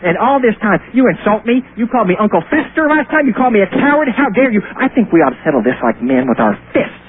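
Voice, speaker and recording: A man's voice: high-pitched, sing-song, fast talker. A man calling in to a radio show, ranting and arguing in an indignant, self-righteous, preachy manner. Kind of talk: argumentative phone-in ranting. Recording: tinny phone line with hiss.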